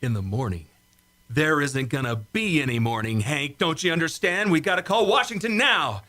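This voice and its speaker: An amateur actor playing a frantic young test pilot in a radio play, urgent and pleading. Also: male voice, fairly high pitch, animated, normal speed, bright, forceful tone. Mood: pleading, desperate.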